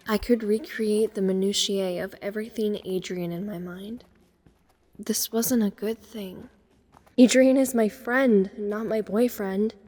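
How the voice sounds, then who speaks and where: A woman's voice: medium pitch, darkish tone, long reverb tail, natural voice. A young woman playing an introspective, self-conscious teenage girl who narrates her own story in an audio drama. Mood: wistful. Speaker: narrator and lead character. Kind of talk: first-person dramatic narration.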